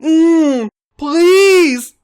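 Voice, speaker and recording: A man's voice: extremely high pitch, drawn-out words, a little mellow, pitched above the performer's own voice, heavily acted. A man voicing an overwhelmed adult male wrestler in a story reading, pleading breathily. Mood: desperate pleading. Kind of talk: acted fiction reading.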